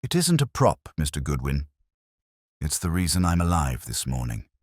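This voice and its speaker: Guy reading as a tense forties woman client, grave, insistent, animated pitch, audiobook. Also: moderate pace, dry recording, studio quality, full character voice. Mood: grave, urgent.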